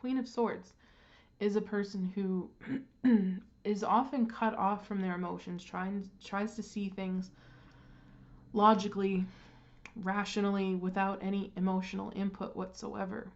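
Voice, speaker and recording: Woman tarot reader, stuffed up and throat-clearing, meandering delivery with lots of ums, slightly dull recording. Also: medium pitch, flat delivery. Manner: unhurried, with frequent ums and restarts. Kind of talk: casual card reading.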